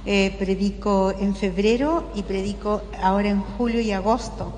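A woman's voice: mid-range voice, normal speed, a little mellow. An older woman with a Chilean accent preaches as a religious sister to a live audience, conversationally, often checking in with tag questions. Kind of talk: informal spoken sermon to a live audience. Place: large indoor parish hall. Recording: echoey hall with clear background noise.

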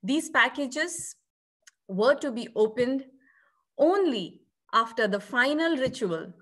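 A woman's voice: sits high, animated, takes it slowly, fairly bright tone. A woman presenting scripted narration on a video call, with reflective, poetic phrasing. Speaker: theatre artist presenting at a conference.

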